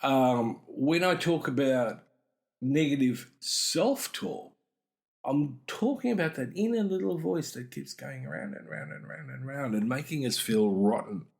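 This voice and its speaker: Man explaining in measured emphasis. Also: mid-range voice, expressive, vocal fry, a little mellow. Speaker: podcast host and mental health speaker. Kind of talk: explanatory talk on psychology.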